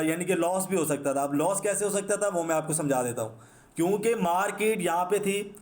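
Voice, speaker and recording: A high-pitched man with a Pakistani accent talks through an unscripted tutorial. He explains step by step, repeating himself and dropping in English terms, with a slightly sore throat. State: sore throat, clearing it now and then. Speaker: trading instructor. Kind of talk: unscripted screen-share trading tutorial.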